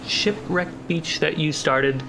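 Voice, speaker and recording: A game master narrating a tabletop role-playing game in a measured way, pausing between phrases, with music behind the voice. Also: medium pitch, background noise. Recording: background music under the voice.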